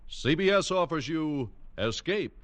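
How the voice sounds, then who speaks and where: A man making a crisp, formal network announcement for an old radio drama. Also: fairly high pitch, lively intonation, a little slow.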